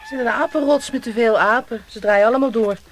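A woman playing a brisk police inspector in a naturalistic radio drama, matter-of-fact and conversational. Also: on the high side, slight background noise, close to an everyday voice. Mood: wry, chatty. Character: brisk female police inspector, married, living in countryside.

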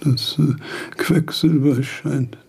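Confused dying old man, super slow and stammering, creaky voice, radio play. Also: medium pitch, fairly even intonation, darkish tone, a little hiss or hum. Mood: rambling, faltering. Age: older. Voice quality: husky, creaky, breathy old voice. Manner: halting, stammering, breaking off mid-sentence. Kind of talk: radio drama acting.

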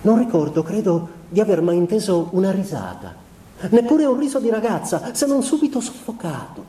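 An actor playing a sensitive, melancholy man who recalls an austere childhood in a measured, introspective stage monologue. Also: high-pitched, big pitch swings, warm tone. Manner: measured, introspective, literary phrasing with deliberate pauses. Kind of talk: theatrical literary monologue. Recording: roomy, echoing sound with faint noise.

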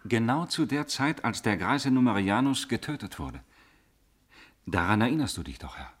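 An actor playing a young Roman officer, formal and restrained, respectful yet quietly probing, in 1950s radio drama. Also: male voice, mid-range voice, big pitch swings, normal speed, breathy edge.

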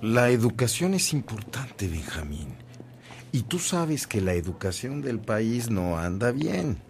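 Casting: devoted, good-humoured priest, radio play, declamatory delivery, mid pitch, some noise behind. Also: male voice, big pitch swings, unhurried, vocal fry. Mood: earnest. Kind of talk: radio drama acting.

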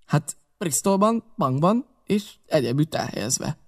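A man voicing a naive, eager young male sailor in an audiobook, speaking in a raised, excited way. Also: fairly high pitch, no room sound, clean studio sound. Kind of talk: audiobook character reading.

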